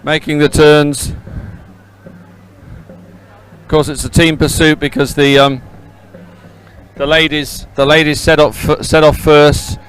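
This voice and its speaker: A man commentates on a race live from outdoors. His voice sits a little high and comes through very clean and resonant. He speaks off the cuff, hesitating, repeating words and correcting himself as he goes. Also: flat delivery, slow pace, long pauses, smooth, rough recording. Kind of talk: live unscripted sports commentary. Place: outdoors beside a race course.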